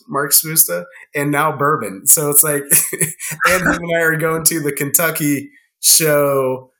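A man's voice: fairly high pitch, bright, forceful tone. A man telling friendly stories as a podcast guest in casual chat, rambling with many restarts and fillers.

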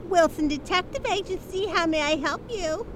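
A woman playing a brisk detective agency secretary in a 1930s radio drama. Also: very high-pitched, noisy background. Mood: businesslike.

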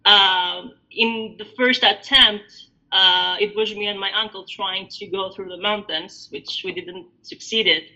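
A woman in her thirties from Albania, a podcast guest telling her story in a reflective, halting way with many fillers. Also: medium pitch, fairly even intonation, bright, forceful tone. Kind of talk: podcast interview. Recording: dull lo-fi audio.

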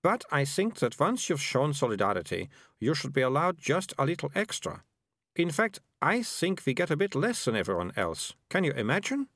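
A prim, bossy young girl who is always sure she's right, as a man puts her on. Her voice sits high and swoops with lively pitch. It is recorded close and dry. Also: normal speed, no echo, exaggerated voice. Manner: prim, know-it-all, theatrical. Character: bossy, imaginative young girl, mayor's daughter.

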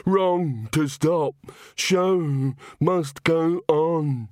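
A man doing a hoarse, panicked opera-haunted man. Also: a little high, a little mellow, dry recording, studio quality, pitched above the performer's own voice. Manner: agitated, repetitive, drawn-out pleading. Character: frightened, confused man obsessed with opera.

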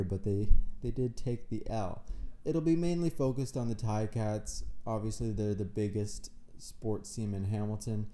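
A man's voice: mid-range voice, warm tone. A man from Canada hosting a casual solo sports podcast, rambling and self-deprecating, nasal and tired from a cold. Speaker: podcast host. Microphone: own podcast microphone.

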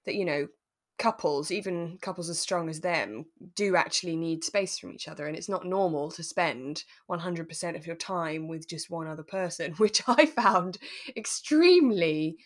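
A woman with a gently low-set voice talks as a podcast co-host. Her delivery is conversational and thoughtful, chatty, with frequent tag questions seeking agreement. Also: moderate pace. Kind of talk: relaxed conversational drama recap.

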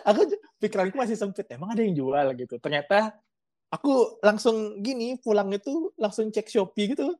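A woman's voice: medium pitch, expressive, moderate pace, darkish tone, no echo, studio quality. A young woman from Bali, a student guest on a podcast, chatting casually with lots of fillers and laughter. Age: young adult. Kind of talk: casual chat.

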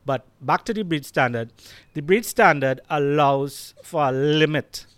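A man's voice: on the high side, a little slow, a bit bright, no echo. A man with a Trinidadian accent hosting a podcast, telling a story with many fillers and restarts. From Trinidad and Tobago. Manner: storytelling, hesitant with fillers and repeats. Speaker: podcast host and Rottweiler breeder. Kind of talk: casual conversation.

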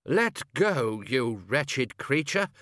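A man's voice: mid-range voice, animated, slow, a bit bright, no echo, clean studio sound. A man voicing a fussy, indignant academic wizard who grumbles peevishly in a storybook reading. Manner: peevish, muttering.

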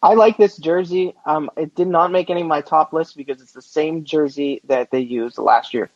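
A man's voice: a little high, moderate pace, no room sound. A man co-hosting a casual sports podcast with laid-back, teasing banter, on slightly dull, lo-fi audio. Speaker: podcast co-host.

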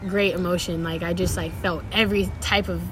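Young woman from sacramento, chill slangy talk, lower voice. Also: fairly even intonation, a little fast, a bit bright, a little hiss or hum. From Sacramento, California. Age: young adult. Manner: laid-back slangy talk, frequent laughs and fillers. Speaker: DJ guest. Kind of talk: casual interview chat.

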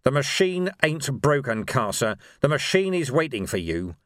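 A man voicing a gruff, righteous middle-aged policeman in an audiobook, speaking in emphatic, colloquial working-class phrases. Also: mid-range voice, very animated, moderate pace, fairly bright tone, no echo, clean studio sound, voice pushed up. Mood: grim triumph. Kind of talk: dramatic audiobook character dialogue.

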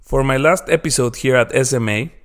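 Halting man hosting a conference podcast. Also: mid-range voice, faint music behind. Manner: friendly, halting, repeats words, non-native grammar. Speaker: podcast host. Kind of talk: interview podcast. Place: conference venue.

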